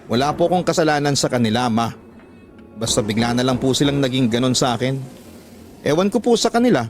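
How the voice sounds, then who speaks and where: A man's voice: mid-range voice, lively intonation, fairly quick, lightly acted. Man playing an earnest young Filipino son. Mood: defensive. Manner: earnest, respectful. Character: dutiful, hard-up young male student, earnest son. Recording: background music under the voice.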